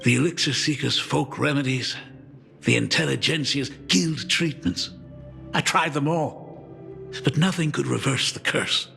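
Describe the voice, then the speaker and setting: A man's voice: mid-range voice, measured pace, creaky, pushed and bright, faint music behind, heavily acted. An actor playing a middle-aged doting father in a video game, self-deprecating, polite and hesitant in an emotive way. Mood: remorseful.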